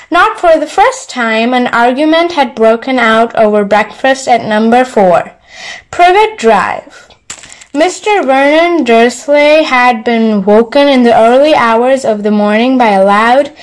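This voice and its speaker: A woman's voice: on the high side, low-quality recording. A woman storyteller narrating a bedtime story, reading it aloud from a book. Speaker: storyteller, channel host.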